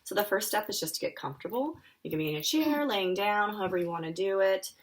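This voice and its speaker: A woman, an author, reading her children's picture book aloud and talking it through for a young audience, with expressive delivery. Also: medium pitch, quick pace. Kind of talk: live children's story time reading and friendly explanation.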